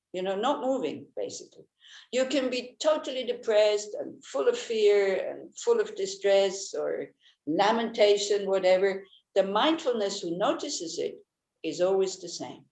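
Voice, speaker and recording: Swiss German woman teaching Buddhism, echoey room. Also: mid-range voice. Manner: gentle, searching for words, many restarts. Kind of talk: Dharma teaching talk.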